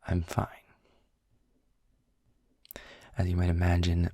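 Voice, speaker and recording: A low, gravelly demon played by a man talks slowly and deliberately, close and dry on the mic. He is sardonic and guarded, with a hidden caring side, in the measured style of intimate audio roleplay. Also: mellow, dry recording, heavily acted. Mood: uneasy, guarded. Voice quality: husky, breathy, gravelly low voice. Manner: dry, measured, halting with pauses. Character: sarcastic, guarded male demon guide, secretly caring. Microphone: close studio-style microphone, dry.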